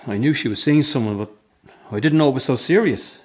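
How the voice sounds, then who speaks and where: A man's voice: medium pitch, fairly quick, husky, close to an everyday voice. A man acting a middle-aged circus father in a radio drama, weary, guarded and wounded. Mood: hurt, resigned.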